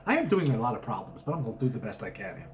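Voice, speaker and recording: A man's voice: on the low side, big pitch swings, racing. Man reading a story theatrically. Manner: theatrical, emphatic delivery. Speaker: author reading his story aloud. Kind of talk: dramatic comic story narration.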